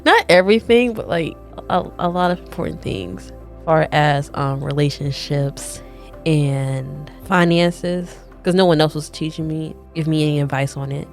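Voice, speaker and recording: A young woman telling her own story as a podcast guest, chatty and lively, quoting herself often. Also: low voice, soft background music. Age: young adult. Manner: chatty, quick bursts, frequent "like" and quoted self-talk. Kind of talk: casual personal storytelling.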